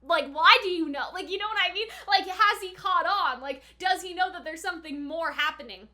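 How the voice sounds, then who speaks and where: A woman's voice: very high voice, a little fast, pushed and bright. A woman hosting an anime reaction video, giving casual commentary in a chatty, informal way as she thinks aloud.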